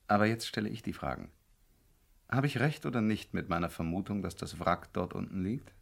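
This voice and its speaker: Smug, low, creaky-voiced gangster. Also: male voice, lively intonation, a little fast. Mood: smug, menacing. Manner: mocking, falsely courteous, commanding. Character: smug, mockingly polite male gangster with a revolver. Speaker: actor playing the villain. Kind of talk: 1970s German radio crime drama acting.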